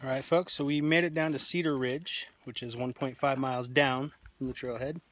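A man's voice: medium pitch, fairly even intonation, moderate pace, a bit bright. A man in his thirties talks casually and jokingly as a family vlog host, recording himself on a handheld camera out on a hiking trail. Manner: jokey, informal. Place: outdoors on a canyon hiking trail. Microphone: handheld camera at arm's length.

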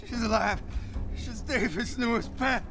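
A man's voice: very high-pitched, unhurried, over music. An actor playing a frightened male captive under interrogation in a video game, strained and pleading. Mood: terrified, pleading. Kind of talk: cinematic video game acting.

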